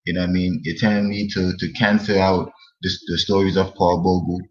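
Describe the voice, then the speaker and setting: A man co-hosting a casual history discussion, speaking hesitantly with many pauses and fillers. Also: sits low, flat delivery, muffled recording.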